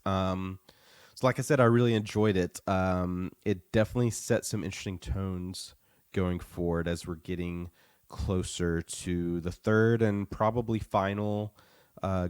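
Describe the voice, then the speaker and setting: A man with a very low, smooth voice, still recovering from the flu, talks through his thoughts on a podcast. He is measured and steady, pausing often on "uh", and his voice sounds dry and close. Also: no echo. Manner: measured, with frequent "uh" pauses. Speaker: podcast co-host. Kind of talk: casual review chat.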